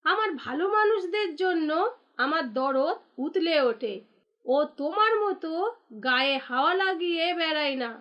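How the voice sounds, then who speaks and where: An extremely high-pitched village wife from a cartoon, acted by a woman. She scolds righteously, nagging with sarcasm and a sharp tongue. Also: expressive, normal speed, dull audio. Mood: sarcastic, scornful. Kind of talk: cartoon dialogue acting.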